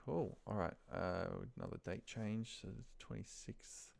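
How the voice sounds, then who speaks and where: A man's voice: on the low side, unhurried, creaky. A man giving a casual screen-share walkthrough, thinking aloud and muttering to himself in short phrases. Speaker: office trainer showing a data task. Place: indoors at a computer, screen recording.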